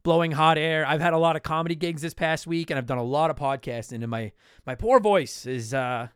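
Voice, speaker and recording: A man hosting a podcast in a casual, joking, self-deprecating and blunt way, his voice slightly hoarse from a lot of talking. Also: on the high side, fairly quick, fairly bright tone, dry recording, studio quality. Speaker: podcast host. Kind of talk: casual solo podcast talk with promotional plugs.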